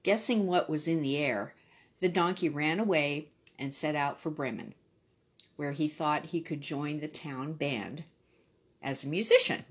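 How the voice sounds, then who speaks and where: A woman's voice: sits low, a little slow, husky. A woman reading a children's story expressively, adding brief casual asides.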